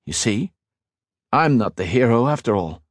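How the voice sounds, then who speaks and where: A man's voice: mid-range voice, lively intonation, normal speed, no room sound, clean studio sound, pitched above the performer's own voice, lightly acted. A man voicing Ethan, an earnest, modest young male hero in a fantasy audiobook.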